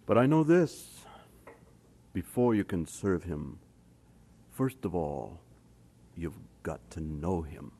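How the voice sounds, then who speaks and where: Kindly minister: deep, dark, slow and grave, vintage radio play. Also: male voice, expressive, husky, heavily acted. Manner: measured, quiet. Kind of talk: old radio drama acting.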